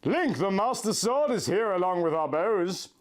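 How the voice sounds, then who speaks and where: A man voicing a young, lovestruck, dramatic heroine, with melodramatic high-pitched exclamations. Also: expressive, moderate pace. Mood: excited.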